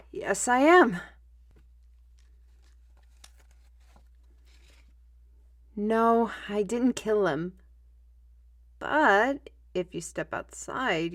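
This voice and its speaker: A woman playing a young, learned squire in an audio roleplay, explaining things in an animated, chatty manner. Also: medium pitch. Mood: reassuring, excited.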